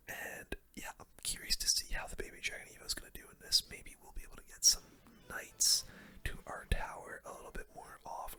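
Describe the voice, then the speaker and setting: ASMR game commentator, breathy whisper, very bright hissy tone, casual gamer slang, relaxed play-by-play. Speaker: gaming video host.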